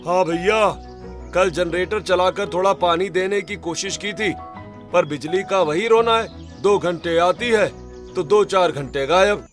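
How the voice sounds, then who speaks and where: A man's voice: high-pitched, unhurried, soft background music. A man playing an earnest, worried farmer son who speaks respectfully in a cartoon story.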